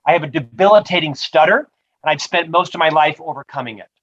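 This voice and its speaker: Brief: upbeat male author guest, high voice, dull lo-fi call audio. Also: fast talker, fairly bright tone, slight background noise. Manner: upbeat, promotional, says he stutters but talks fluently. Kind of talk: rapid-fire interview answers.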